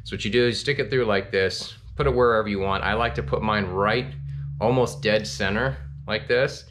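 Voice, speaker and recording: A man's voice: on the low side, moderate pace, background noise. Easygoing man rambling about fishing gear. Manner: relaxed, rambling, with frequent ums and restarts. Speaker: fishing channel host. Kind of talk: casual gear talk to camera. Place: small home office indoors.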